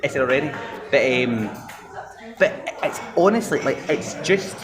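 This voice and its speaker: A man with an ordinary mid-pitched voice, rambling in casual conversation and drifting through loose thoughts with fillers. A loud crowd murmurs around him. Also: animated, measured pace. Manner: rambling, laughing, apologetic.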